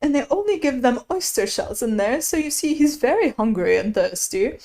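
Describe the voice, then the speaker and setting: An affectionate storybook messenger, acted by a woman, with a raised pitch. He is chatty and confiding, speaking fondly as if to someone dear. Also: animated, moderate pace, a little mellow.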